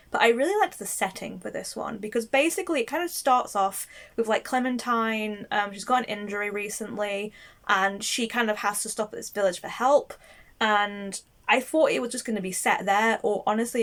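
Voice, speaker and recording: Young woman, slightly croaky from a cold; casual, rambling book-vlog chat with lots of hedging. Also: mid-range voice. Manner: chatty, rambling, lots of "um" and "I think". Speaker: book vlogger.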